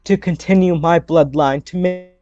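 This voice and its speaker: A man's voice: fairly high pitch, fairly even intonation, normal speed, mellow, dull audio, faint music behind, natural voice. A man playing a remorseful teenage vampire girl who pleads solemnly and earnestly in court in a tabletop game. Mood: solemn, regretful.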